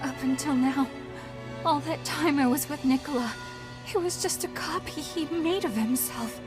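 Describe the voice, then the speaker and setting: A woman playing a gentle, grieving young revenant in a video game, speaking in a halting, emotional way. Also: high-pitched, over music, lightly acted. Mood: sorrowful realization. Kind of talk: dramatic video game voice acting.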